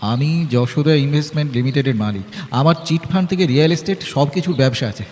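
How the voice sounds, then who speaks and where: A man's voice: fairly high pitch, fast, darkish tone, slight background noise, close to an everyday voice. A man with a Bengali accent playing a smitten, self-important business owner in an audio drama, theatrical and poetic. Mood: indulgent, boastful. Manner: theatrical, poetic, lapses into florid English. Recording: long reverb, faint music behind. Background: faint music.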